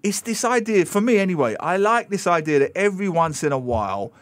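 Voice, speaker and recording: A man, co-hosting a casual radio chat in a studio and telling stories with animated mimicry. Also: high voice, fairly quick. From England. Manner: animated storytelling, mimics reporters. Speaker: radio co-host. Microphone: studio microphone.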